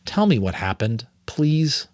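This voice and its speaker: A man's voice: medium pitch, big pitch swings, takes it slowly, a little mellow, no echo, voice pushed up. A man voicing an earnest, curious young boy apprentice in an audiobook novel, polite and tentative.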